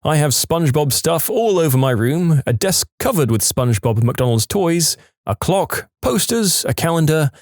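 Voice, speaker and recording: A man's voice: fairly high pitch, expressive, moderate pace, dry recording, studio quality. A man narrating listener stories for a channel in a punchy, upbeat scripted read.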